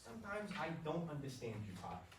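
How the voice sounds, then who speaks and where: A man's voice: on the high side, big pitch swings, brisk. A man playing an earnest, self-doubting young baseball player in a staged play reading. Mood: resigned, doubtful. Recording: roomy, distant stage recording with clear noise.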